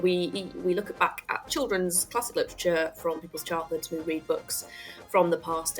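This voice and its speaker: A woman's voice: lowish voice, pushed and bright. A woman comedian guest chatting casually on a podcast, wry and self-deprecating.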